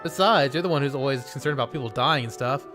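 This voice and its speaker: An offbeat, cheerful young dark mage, voiced by a man, chipper and a little strange. The voice sits slightly raised above ordinary talk. Game music plays behind him. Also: mid-range voice, fast talker, natural voice.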